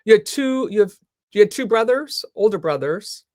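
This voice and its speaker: A woman's voice: medium pitch, animated, normal speed, darkish tone, no room sound. Female interview host, effusive delivery, mid-range voice, close dry sound. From military family, lived partly in Germany as a child. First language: German. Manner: effusive, exclaiming. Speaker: talk show host. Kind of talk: live interview before an audience.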